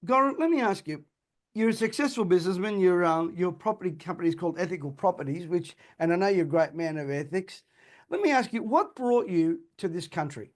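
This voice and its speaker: A man's voice: high voice, expressive, brisk. A man hosting an interview, earnest and apologetic, whose first language is Calabrian. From Australia. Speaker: host.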